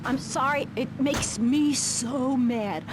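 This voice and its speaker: A woman's voice: sits high, slow. An actress playing a judgmental, easily flustered suburban mother ranting on a satirical talk radio panel in a video game. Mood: indignant, flustered. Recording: loud street and car noise behind.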